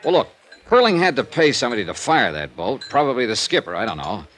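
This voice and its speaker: An American actor playing a wry, hard-nosed insurance investigator in a 1950s radio drama, clipped and businesslike. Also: male voice, medium pitch, very animated, quick pace, a bit bright, slight background noise. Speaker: lead actor and narrator of a radio detective series. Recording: vintage 1950s radio recording.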